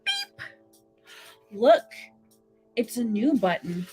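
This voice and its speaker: A woman's voice: on the high side, wildly swooping pitch, extremely slow, a little mellow, faint music behind. A woman reads a children's picture book aloud in a playful, theatrical, interactive style, full of dramatic exclamations.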